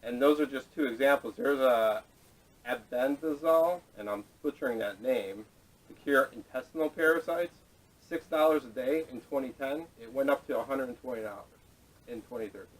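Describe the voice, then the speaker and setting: A man's voice comes from a distance, caught on a camera microphone with poor sound. He talks casually as a podcast host, laying out his points in a measured, explanatory way at a middling pitch. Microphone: camera audio, away from speaker.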